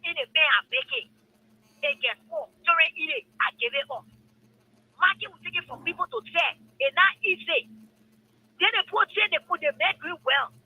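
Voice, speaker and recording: A woman's voice: very high voice, expressive, normal speed, bright, forceful tone, poor audio. A middle-aged woman with a Liberian accent, a phone-in caller complaining informally and passionately, repeating phrases for emphasis.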